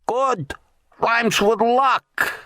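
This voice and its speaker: Barking, high-pitched thuggish tabloid boss. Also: male voice, extremely slow, pushed and bright, a little hiss or hum, exaggerated voice. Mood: gruff. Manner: barking, impatient, blunt. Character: crass, bullying middle-aged male tabloid boss, ex-union thug. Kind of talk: audiobook character acting.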